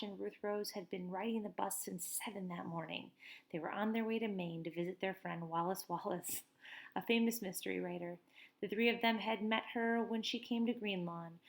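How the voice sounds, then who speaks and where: Woman teacher reading aloud, gravelly edge. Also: mid-range voice. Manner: lively read-aloud with quick asides. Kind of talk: reading a children's chapter book aloud to a class. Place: small room at home. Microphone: webcam at desk.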